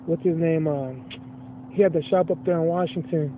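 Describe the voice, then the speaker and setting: A man's voice: on the high side, fairly even intonation, moderate pace, a little hiss or hum. Candid streetwise man on a tinny line. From Los Angeles. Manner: candid, streetwise, swears freely. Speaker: rapper guest. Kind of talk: casual interview chat.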